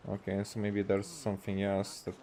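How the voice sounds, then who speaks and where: A man from Hungary doing casual gameplay commentary, halting and thinking aloud to himself. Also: lowish voice, completely flat delivery, warm tone, slight background noise. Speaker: video game streamer.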